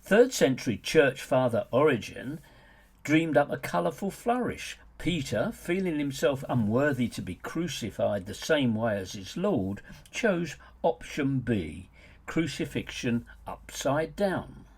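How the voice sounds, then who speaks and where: A husky-voiced man reads a polemical audiobook at an unhurried pace. His delivery is deliberate and theatrical, with a pitch that swings widely as he leans on words with dry mockery. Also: very animated. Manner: sardonic, mocking emphasis. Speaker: author reading own book as narrator. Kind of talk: scripted polemical narration, audiobook.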